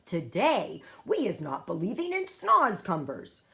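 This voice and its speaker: A woman acts out a very old friendly giant for a storybook, pompous and easily indignant. His pitch swoops wildly as he stretches out key words. His grammar is squiggly and muddled. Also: wildly swooping pitch, unhurried, vocal fry, bright, forceful tone, heavily acted. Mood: lecturing.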